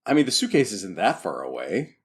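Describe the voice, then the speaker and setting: A man chatting casually and haltingly as a player at a tabletop game. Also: on the low side, huge pitch swings, breathy edge.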